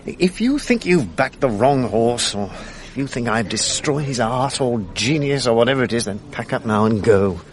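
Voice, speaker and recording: A man's voice: mid-range voice, lively intonation, moderate pace, hardly any pauses, natural voice. An actor playing a defensive, irritable young man in a 1980s radio drama. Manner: sharp, irritable.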